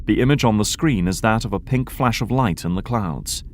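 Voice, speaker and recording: Low-pitched male drama narrator. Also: moderate pace. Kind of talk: scripted narration of audio drama. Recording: faint background noise.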